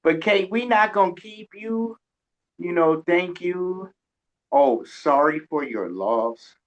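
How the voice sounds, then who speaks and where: A man's voice: high-pitched, lively intonation, slow pace, dull audio. A man hosting a radio show, chatting casually in a folksy, colloquial storytelling way. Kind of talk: casual interview chat.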